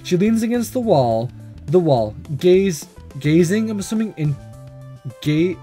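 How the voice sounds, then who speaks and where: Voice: medium pitch, lively intonation, measured pace, dark tone. A let's player reading a visual novel aloud in narration, breaking off for casual off-the-cuff reactions, over game music. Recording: music under the voice.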